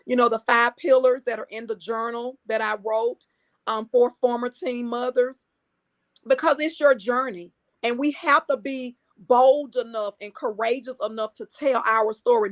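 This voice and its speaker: A woman who is a guest coach on a podcast, speaking earnestly, with preacher-like emphasis and repeated phrases. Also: a little high. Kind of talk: conversational interview.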